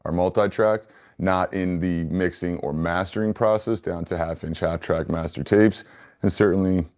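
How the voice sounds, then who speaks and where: Low voiced guy being interviewed, smooth and pretty flat, earnest, chatting thru his answers. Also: normal speed. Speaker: interview guest, business founder.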